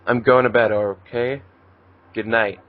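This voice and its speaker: An earnest, hesitant teenage anime boy in love, played by a man in an amateur dramatic reading. The voice is mid-pitched and the recording slightly dull. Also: fairly even intonation, unhurried, natural voice. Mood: mild.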